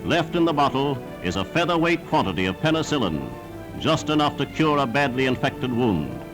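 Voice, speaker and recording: A man's voice: mid-range voice, big pitch swings, normal speed. A man narrating a 1940s wartime newsreel in a formal, declamatory scripted style. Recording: 1940s newsreel soundtrack with music.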